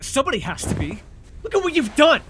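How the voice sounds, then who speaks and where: Guy acting sardonic telepath villain mentor, protective underneath, super expressive swings from biting to tender, bit of background noise. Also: very high-pitched, quick pace. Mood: bitter, scornful. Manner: intense, emotive, swinging between biting and tender. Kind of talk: immersive superhero audio roleplay acting.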